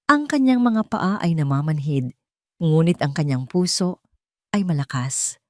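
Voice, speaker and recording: A woman narrates a dramatic story from a script. Her voice sits a little low, and the sound is very dry and close, with no room around it. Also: darkish tone, no room sound.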